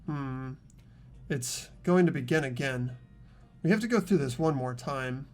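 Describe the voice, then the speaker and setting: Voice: medium pitch, expressive, measured pace, a little mellow, faint music behind. A narrator reading scripted, dramatic visual novel text as the protagonist of a game playthrough.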